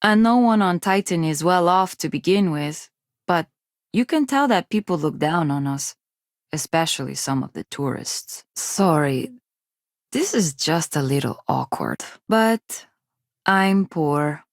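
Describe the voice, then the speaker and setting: A close, dry recording of a young woman student from a poor colony in a video game. Her pitch sits slightly low but moves around a lot. She speaks shyly and haltingly, awkward and quick to put herself down. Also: animated, no echo. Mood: awkward, hesitant. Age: young adult. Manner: hesitant, awkward, self-deprecating. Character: shy, self-doubting young undergraduate woman from a poor space colony. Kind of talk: video game dialogue acting.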